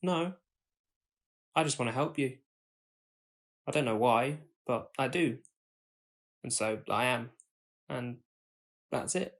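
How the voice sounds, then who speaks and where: A man's voice: medium pitch, slow pace, pauses often. Actor doing a shy awkward young guy in an audio play, earnest, hesitant, kind. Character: awkward, kind young man befriending a homeless woman. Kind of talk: audio drama dialogue.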